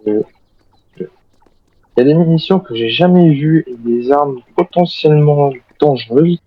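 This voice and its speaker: Slightly high-voiced man playing a wary soldier survivor. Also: measured pace, dull audio. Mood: cooperative. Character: armed soldier survivor of a zombie outbreak, wary but cooperative. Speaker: video game roleplayer. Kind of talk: improvised in-character game roleplay.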